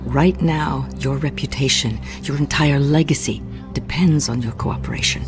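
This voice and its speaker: An actress playing a diplomatic, firm corporate executive, speaking in a formal, placating, controlled way in a video game. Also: female voice, a bass voice, moderate pace, over music. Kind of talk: dramatic video game acting.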